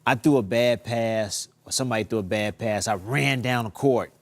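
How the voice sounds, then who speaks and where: A man's voice: mid-range voice, moderate pace, no room sound. A man, a former player, telling a casual anecdote as a studio guest.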